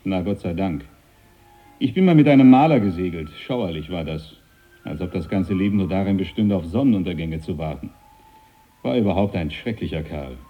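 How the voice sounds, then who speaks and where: An actor playing a stern, devout British naval captain in a radio drama, brusque, blunt and commanding. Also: male voice, sits low, expressive, moderate pace, breathy edge, muffled recording, faint music behind. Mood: scornful.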